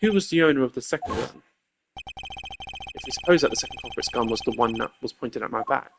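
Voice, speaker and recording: A man voicing a composed, formal male prosecutor in a game, laying out his case in measured, deliberate speech. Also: mid-range voice, gravelly, background noise, close to an everyday voice. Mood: measured, reasoning. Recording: music behind the voice.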